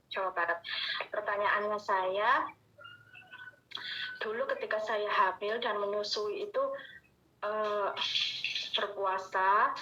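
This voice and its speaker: A woman's voice: medium pitch, bright, forceful tone. A woman respectfully putting questions to a religious teacher in a polite, deferential way. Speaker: audience member asking the teacher questions. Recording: dull telephone-like online call audio.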